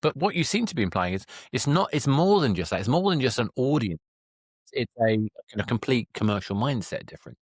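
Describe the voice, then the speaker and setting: A man's voice: mid-range voice, big pitch swings, a little fast, dry recording, studio quality. A man hosting a podcast interview, thinking aloud in an analytical, conversational way. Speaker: podcast host.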